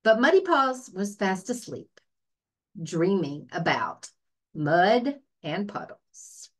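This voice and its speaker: Slow, animated woman storyteller. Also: mid-range voice. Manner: expressive storytelling with deliberate pacing. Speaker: librarian reading children's picture books aloud. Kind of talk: read-aloud children's story narration.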